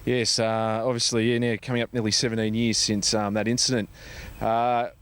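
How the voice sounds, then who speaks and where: An Australian man giving informal interview answers as a guest, speaking in a hesitant, halting way with many pauses. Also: on the low side, flat delivery, clear, resonant tone. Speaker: interview guest, charity foundation organiser.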